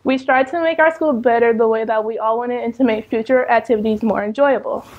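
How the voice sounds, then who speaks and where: Teenage American girl, somewhat high. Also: fast talker, lo-fi sound. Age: teenager, about 14. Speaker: student election candidate. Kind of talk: prepared campaign speech to camera.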